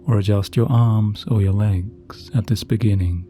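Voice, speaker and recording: A man narrating a sleep meditation in a soft, gentle, soothing voice with slow, measured phrasing. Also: lowish voice, fairly even intonation. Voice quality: soft, very dark and mellow. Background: relaxing background music.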